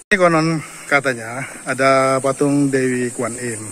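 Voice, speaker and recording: A man's voice: mid-range voice, moderate pace. A man talking casually to his viewers while walking and climbing through a cave, filming on a handheld phone. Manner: chatty, commenting while climbing. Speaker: vlogger. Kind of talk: casual travel vlog narration. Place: outdoors in a cave and on stairs. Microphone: handheld phone camera. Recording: outdoor recording with background noise.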